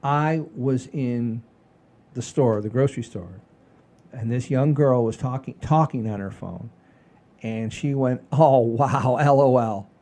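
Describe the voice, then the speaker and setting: A man hosting a comedy show in casual chat, halting and repeating phrases for emphasis. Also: mid-range voice, mellow.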